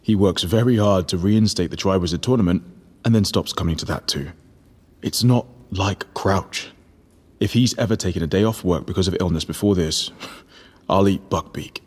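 A brooding fugitive wizard speaks in a very low, rough-edged voice. He is sharp-witted and probes deliberately, as in a dramatised audiobook. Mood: grim, knowing. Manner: probing, deliberate questioning. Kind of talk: full-cast audiobook drama.

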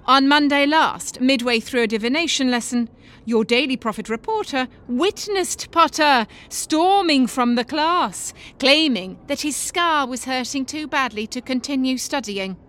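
A gossipy female newspaper reporter, acted by a woman, high in pitch. She reads her sensational article with emphasis and insinuation, as in audiobook drama. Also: measured pace, fairly bright tone. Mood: insinuating. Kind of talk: dramatised reading of a tabloid article.

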